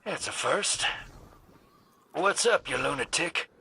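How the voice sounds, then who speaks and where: A bright, gravelly, brash roguish adventurer. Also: male voice, fairly high pitch, expressive, slow, full character voice. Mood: taunting, wry. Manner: wisecracking, irreverent. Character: brash, sarcastic roguish male adventurer, irreverent and irritable. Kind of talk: video game cutscene voice acting.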